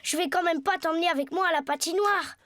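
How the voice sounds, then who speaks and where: A woman's voice: very high-pitched, fast talker, fairly bright tone, no echo, clean studio sound. A woman voicing an earnest, hesitant young boy in a French cartoon. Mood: reassuring. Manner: hesitant, protesting, childlike.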